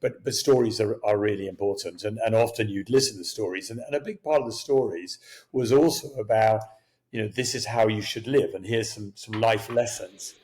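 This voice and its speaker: An older man raised in Kenya whose first language was Swahili, telling lively stories as an interview guest, chuckling and restarting sentences. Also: fairly low pitch, normal speed. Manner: animated, chuckling, many restarts. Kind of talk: storytelling interview talk.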